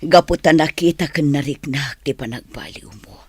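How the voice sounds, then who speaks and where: Husky, soft-spoken forgiving wife. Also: female voice, low-pitched, normal speed, no room sound. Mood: tender, forgiving. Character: gentle, forgiving married woman teacher convalescing at home. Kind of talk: radio drama acting.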